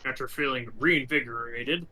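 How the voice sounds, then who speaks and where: A man's voice: mid-range voice, normal speed, bright, forceful tone, a little hiss or hum. A man playing a tabletop game, talking casually about his moves and rolls.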